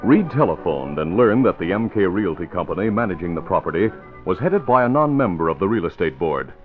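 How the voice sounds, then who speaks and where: A male radio announcer from the 1940s, emphatic and dramatic, reading scripted narration. His pitch swings widely. The recording is dull and lo-fi, with faint music. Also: very animated, brisk. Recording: muffled old radio broadcast recording.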